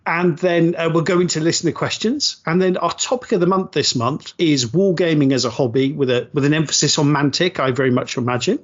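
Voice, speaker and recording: A man's voice: on the high side, fairly quick, few pauses, muffled recording. A man hosting a podcast in an upbeat, rambling, self-deprecating way, often stopping to restart. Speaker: podcast host. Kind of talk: casual chat.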